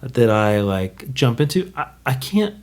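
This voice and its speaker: A man's voice: mid-range voice, big pitch swings, a little slow. A man in his thirties, a guest drummer, talking through his thoughts in casual podcast conversation, rambling and correcting himself as he goes. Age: 30s.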